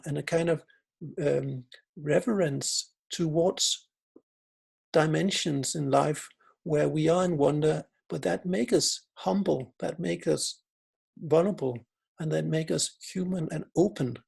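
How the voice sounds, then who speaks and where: Danish-accented male academic, slightly raised pitch, reflective lecture delivery with restarts. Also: creaky, darkish tone, slightly rough. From Denmark. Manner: reflective, searching for words, frequent restarts. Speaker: philosopher and researcher. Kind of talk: conference talk.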